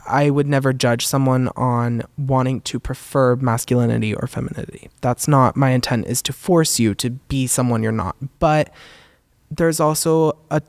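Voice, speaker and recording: A man's voice: mid-range voice, no room sound, clean studio sound. A young man, a photographer, answering onstage interview questions in an earnest way with many "um"s, in a voice he calls feminine. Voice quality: describes his own voice as feminine. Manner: earnest, many "um"s and "kind of", laughs often. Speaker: guest, photographer and zine curator. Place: theater stage.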